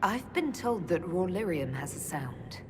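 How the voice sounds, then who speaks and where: A woman's voice: on the low side, very animated, measured pace, background noise. A woman playing a composed, inquisitive fantasy Inquisitor in a video game, speaking in a questioning, diplomatic way. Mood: thoughtful, curious. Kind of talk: video game cutscene dialogue. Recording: game music behind the voice. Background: music.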